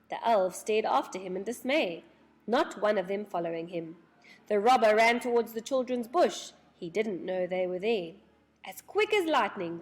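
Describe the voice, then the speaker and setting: Animated woman reading a children's story. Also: medium pitch. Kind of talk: expressive children's storybook narration.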